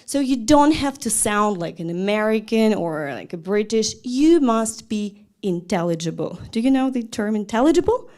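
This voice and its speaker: A young woman, a language teacher giving a lively, chatty talk to an audience. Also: mid-range voice, moderate pace, hardly any pauses. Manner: lively and chatty, with small laughs and restarts.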